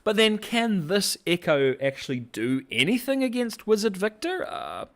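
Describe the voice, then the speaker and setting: A young man hosting a casual livestream, rambling through animated commentary with frequent laughter and fillers. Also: high voice, moderate pace. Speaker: host.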